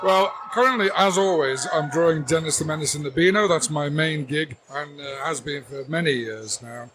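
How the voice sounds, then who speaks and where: A man's voice: a little high, normal speed, noisy background. A man, a comic artist guest, chatting casually and teasing with dry jokes. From Liverpool, England. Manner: jokey, deadpan teasing. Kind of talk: casual convention interview. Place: busy convention floor.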